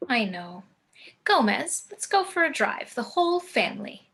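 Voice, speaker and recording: A woman playing a composed, loving, gently macabre gothic mother in a table read, soothing and deadpan. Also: sits high, normal speed, breathy edge, full character voice. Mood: concerned.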